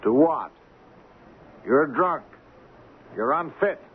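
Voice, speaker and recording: An actor playing a haughty, callous shipping company boss with a formal, condescending manner in an old-time radio drama. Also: male voice, a little high, expressive, extremely slow, slightly rough, full character voice. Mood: smugly dismissive. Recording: lo-fi, noisy radio drama recording.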